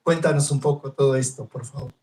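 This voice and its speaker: A man moderating a panel, introducing speakers slowly and hesitantly. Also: mid-range voice, steady tone, darkish tone, recorded from a distance.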